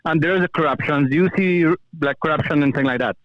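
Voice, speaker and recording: Afghan accent guy calling in, pretty high voice, muffled lofi audio, earnest, telling his own story. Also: fast talker, no room sound. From Kabul, Afghanistan. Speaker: call-in guest, former police officer. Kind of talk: personal account in conversation.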